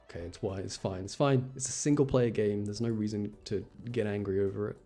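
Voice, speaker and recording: Man, very soft dark timbre, fairly deep, casual thinking-aloud game commentary, quiet music behind. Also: brisk. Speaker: game streamer.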